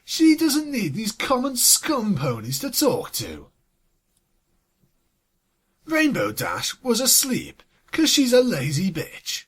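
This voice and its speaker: Storyteller, husky voice, super animated, sarky and fed up, swears in the asides. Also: medium pitch. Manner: sardonic, exasperated, sweary. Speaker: fan fiction reader and commentator. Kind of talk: scripted story reading with sarcastic asides.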